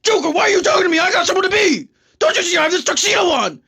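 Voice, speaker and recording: A man's voice: extremely high pitch, animated, rapid-fire, sharp tone, heavily acted. A man voicing a harried, self-important superhero in a comedy parody, scolding and pleading in indignant exasperation.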